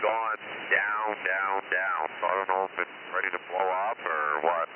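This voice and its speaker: Lowish-voiced man through hissy CB radio. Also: steady tone, normal speed. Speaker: CB radio club member. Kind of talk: casual CB radio chat. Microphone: stock CB radio microphone. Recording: narrowband CB radio, loud hiss.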